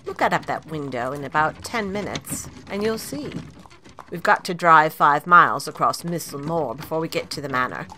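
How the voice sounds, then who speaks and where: A woman playing a stern, brisk middle-aged housekeeper in an audio drama. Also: low-pitched, lively intonation, pushed and bright, slight background noise. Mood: matter-of-fact. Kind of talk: amateur audio drama acting.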